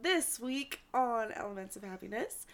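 A woman's voice: fairly high pitch, animated, normal speed, a bit bright. A young woman co-hosting a podcast, tired and playful in casual chat. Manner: laughing, playful. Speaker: podcast co-host.

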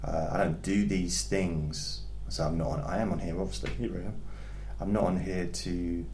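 A man hosting a hypnotherapy podcast who talks to his listeners calmly and in a rambling, self-deprecating way, often restarting his sentences. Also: sits low, normal speed. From England. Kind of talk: casual chat to listeners. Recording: echoey room with faint background noise.

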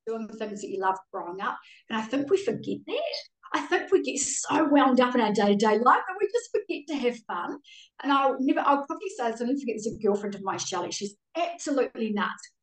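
A woman's voice: a little high, very animated, fast. Kiwi woman chatting as a podcast guest, emphatic and candid, sounds a bit away from the mic in an echoey room. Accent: New Zealand. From Auckland, New Zealand. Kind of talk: candid casual chat. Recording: roomy echo, distant from mic.

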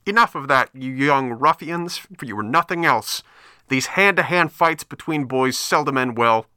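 A big storybook crab , acted by a male narrator, scolds in a hoarse, raised voice. It is emphatic and admonishing, like a stern warning to youngsters. The sound is close and dry. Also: medium pitch, animated, normal speed, sharp tone, no echo, voice pushed up. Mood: scolding, warning. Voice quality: hoarse, trumpet-like with a bad cold.

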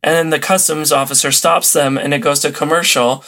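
A man's voice: on the high side, steady tone, a little fast, sharp tone. A man talking casually as a podcast guest, telling things in an amused way. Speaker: podcast guest, old-time radio enthusiast. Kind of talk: casual interview chat.

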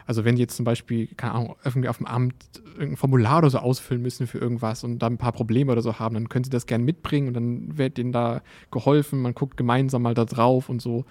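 A man's voice: medium pitch, little pitch movement, quick pace, few pauses, darkish tone, no room sound. Casual male co-host, dry close sound. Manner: loose, casual talk with many fillers. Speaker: podcast co-host. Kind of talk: casual chat.

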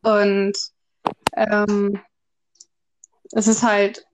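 A woman's voice: mid-range voice, completely flat delivery, very slow, a little hiss or hum, low-quality recording. A woman in her early twenties in a casual, unscripted podcast chat, speaking in a slightly tentative way. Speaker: podcast co-host.

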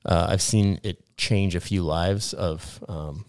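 Deep-voiced man in his forties, joking. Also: steady tone, takes it slowly, darkish tone, no room sound, studio quality. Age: 40s. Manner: blunt, joking, swears casually. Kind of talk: casual chat.

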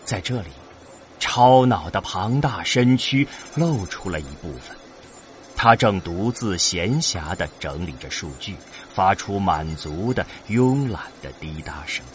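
A lowish male narrator over faint ambient noise. He tells a scripted sci-fi story slowly and deliberately, with lively rises and falls. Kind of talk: scripted audio drama narration.

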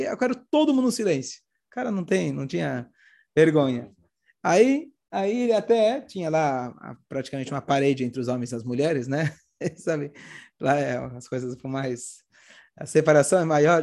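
A Brazilian man, hoarse with a cold, telling religious stories informally and acting out the dialogue as he goes. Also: high voice, a little mellow. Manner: acts out dialogue while retelling. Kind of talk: animated informal religious storytelling talk.

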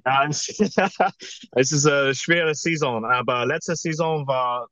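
A man from England with an English accent, speaking haltingly as a non-native guest in a casual chat. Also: medium pitch, a bit bright, dry recording. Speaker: podcast guest, quiz contestant. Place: parked in a car. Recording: dull, lo-fi, dry sound.

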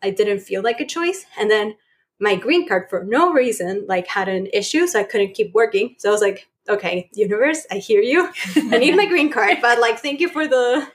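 A woman's voice: a little high, expressive, normal speed. A woman from Chile, a podcast guest chatting casually, laughing often and leaning on filler words. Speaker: guest, spiritual coach.

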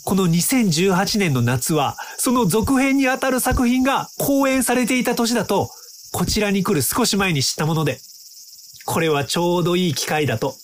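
A man's voice: sits high, animated, normal speed, a little hiss or hum. A man playing a polite, eager, chatty time-travel researcher from the future in a radio drama.

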